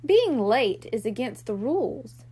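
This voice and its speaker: A woman's voice: on the high side, sing-song, unhurried, dark tone, breathy edge, exaggerated voice. A woman voicing a caring, conscientious girl kitten-mermaid in a children's storybook, earnest and slightly worried. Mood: worried, rushed.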